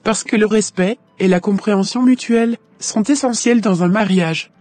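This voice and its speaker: A woman playing a wise, conciliatory mother-in-law in a scripted cartoon drama, giving advice in a measured way. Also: mid-range voice, darkish tone, no room sound, soft background music, natural voice. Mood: calm, advising. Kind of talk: scripted animated drama dialogue.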